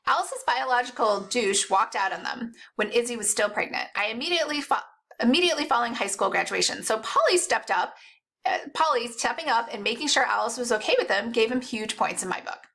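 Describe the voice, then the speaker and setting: A woman reading first-person novel narration aloud in an expressive, animated storytelling way. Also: fairly high pitch, fairly quick. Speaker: audiobook-style reader.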